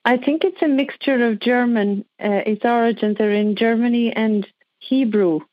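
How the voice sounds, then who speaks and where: A woman's voice: fairly high pitch, moderate pace, no echo. A woman calling in to a show and chatting casually, heard through dull, lo-fi call audio. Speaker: caller to a radio-style show. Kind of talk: casual conversation.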